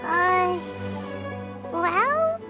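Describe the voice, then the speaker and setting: A woman voicing a tiny childlike fairy companion in a video game, squeaky, earnest and inquisitive. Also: sing-song, very slow, exaggerated voice. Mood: surprised, questioning. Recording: music clearly playing behind voice.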